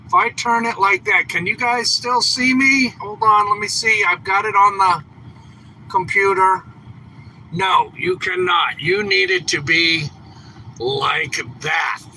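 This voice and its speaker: A man's voice: high-pitched, slow pace, sharp tone, slight background noise, poor audio. A man hosting a casual live stream from inside a truck on his phone, chatty and a bit congested from a lingering cough. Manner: rambling, chatty, fumbling with gear. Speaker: homestead channel host. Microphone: phone camera held in hand.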